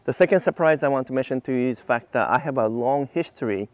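A man's voice: medium pitch, fast talker, a little mellow. A man in his fifties from Japan, an engineer reviewing cars to camera in an enthusiastic, explanatory, casual way. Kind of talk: car review to camera, semi-scripted casual talk. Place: outdoors on a quiet leafy residential street. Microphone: clip-on lavalier mic on the chest.

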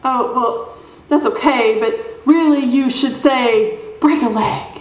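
A woman's voice: high voice, slow pace. A woman playing a breezy, condescending small-town theater director in a radio show performed on stage. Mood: breezy, instructive. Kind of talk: old-time radio show acting on stage. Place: theater stage before an audience. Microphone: stand microphone, camera at a distance. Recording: dull, echoey live stage recording.

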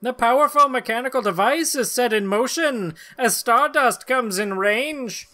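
A man narrates an old comic book for a podcast. His voice is bright and forceful. He reads theatrically and sounds amused, dropping into casual chat. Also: very high-pitched, unhurried. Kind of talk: dramatic comic-book reading with casual banter. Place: small room at home, video call.

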